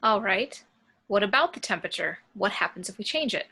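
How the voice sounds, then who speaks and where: A woman's voice: medium pitch, expressive, brisk, pushed and bright, breathy edge, exaggerated voice. A woman playing an assertive spaceship crew member in an amateur table read of a sci-fi script. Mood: insistent.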